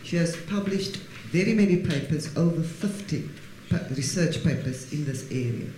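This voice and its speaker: A man's voice: fairly high pitch, expressive, a little mellow, a little hiss or hum. Man introducing a lecturer, echoey hall. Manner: halting, self-correcting, light humor. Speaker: host introducing a speaker. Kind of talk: formal introduction.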